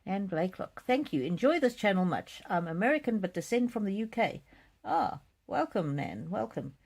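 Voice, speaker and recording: A woman hosting a live psychic card reading, chatting casually and reading out comments in a gentle, musing, hesitant way with soft repetitions. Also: mid-range voice, very animated, normal speed, breathy edge.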